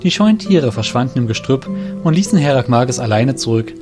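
A man's voice: medium pitch, expressive, a little fast. A man narrating a scripted fantasy audio drama as its storyteller. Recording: music behind the voice.

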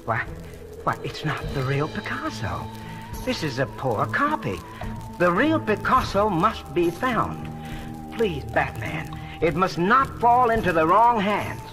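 High, fretful elderly museum curator. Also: male voice, huge pitch swings, slow pace, full character voice. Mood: dismayed, pleading. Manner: genteel, fretful, theatrical. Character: fussy elderly male museum curator, courteous and flustered. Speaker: voice actor. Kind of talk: radio-drama acting on a children's story record. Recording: vintage story record with dramatic music.

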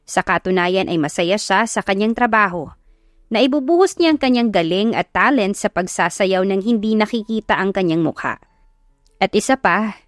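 A woman's voice: mid-range voice, dry recording, studio quality. A woman with a Filipino accent reading a scripted love story as an audiobook narrator. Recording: background music under the voice. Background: music.

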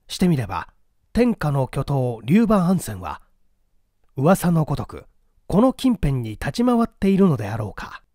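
A man's voice: fairly high pitch, wildly swooping pitch, normal speed, dark tone, no room sound, clean studio sound. A man reading a classic mystery novel as an audiobook narrator, in formal, dramatic storytelling style.

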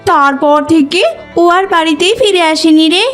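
A woman's voice: very high-pitched, normal speed, fairly bright tone, faint music behind. A woman playing a caring, fretful mother tigress in a cartoon, with a motherly, questioning, scolding manner. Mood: worried. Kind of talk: cartoon voice acting.

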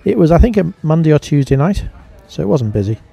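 A man's voice: fairly high pitch, very animated, quick pace, gravelly, dark tone, breathy edge. A man giving a casual voice-over review as a vlogger.

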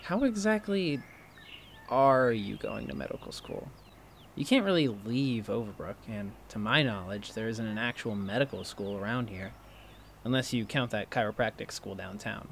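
A man's voice: on the low side, moderate pace, gravelly. Man acting inquisitive, teasing college student, noisy background. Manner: prodding, animated, incredulous. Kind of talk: fiction podcast acting.

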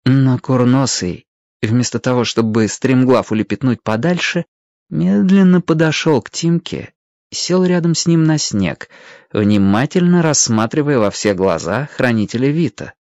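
A man's voice: medium pitch, lively intonation, unhurried, a little mellow, dry recording, highs cut off. A man narrating a children's adventure story expressively from a script, as the narrator of a radio play.

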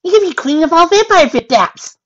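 A woman voicing an excitable, bubbly female party pony with a loud, bouncy delivery for a story reading. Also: a little high, a little fast, pitched above the performer's own voice, lightly acted. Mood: cheerful. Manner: exclaiming, bouncy, blunt. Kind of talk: fan fiction story reading. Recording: dull lo-fi recording.

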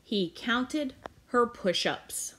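An American woman reading a children's picture book aloud expressively, with deliberate, dramatic emphasis on key words. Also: a little high, expressive, fairly bright tone. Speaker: read-aloud storyteller. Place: outdoors beside a house. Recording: clear background ambient noise outdoors.

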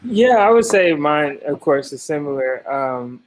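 A man's voice: a little high, expressive, unhurried, low-quality recording. A man in his thirties, a sound designer and producer, speaking casually with many fillers on a video call. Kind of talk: panel discussion on video call. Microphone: webcam on video call.

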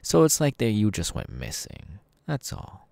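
A man's voice: lowish voice, wildly swooping pitch, unhurried, creaky, a little mellow, no echo, heavily acted. A man playing a smug, sadistic masked killer in an ASMR audio roleplay, taunting in a menacingly casual, mocking way. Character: sadistic masked young male killer, smug, gleeful cult henchman.

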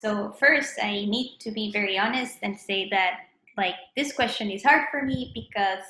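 A woman's voice: medium pitch, normal speed, pushed and bright, slight background noise. Colombian woman in an echoey room. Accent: Colombian Spanish. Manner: thoughtful, self-correcting, frequent restarts. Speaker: mathematician. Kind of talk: recorded interview answers. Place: home room with plants. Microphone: webcam at desk distance.

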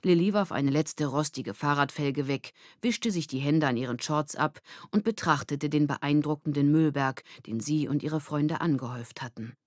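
A woman's voice: low-pitched, normal speed, few pauses, a little mellow, no room sound, clean studio sound. A woman narrating a scripted children's story as an audiobook reader.

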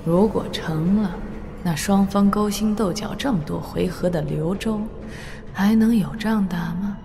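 A bossy old wuxia empress, regal and deliberate, echoey with music behind. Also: female voice, mid-range voice, gravelly, warm tone. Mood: resolved. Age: older. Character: imperious, shrewd old empress of a wuxia kingdom. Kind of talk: Chinese audio drama acting. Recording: long reverb, music behind.

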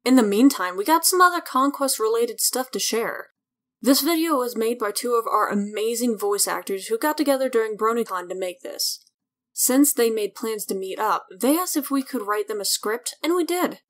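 Higher-voiced woman giving an update. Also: lively intonation, normal speed. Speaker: series producer giving an update. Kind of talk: casual scripted update narration.

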